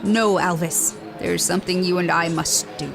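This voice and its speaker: A woman playing a solemn, stern keeper of ancient secrets, speaking gravely and formally in an audio drama. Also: fairly low pitch, steady tone, normal speed, over music. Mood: stern, urgent.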